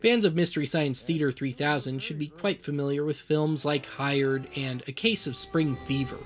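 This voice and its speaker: A man's voice: fairly high pitch, normal speed, faint music behind. A man hosting and narrating a scripted documentary series with a dry, wry manner.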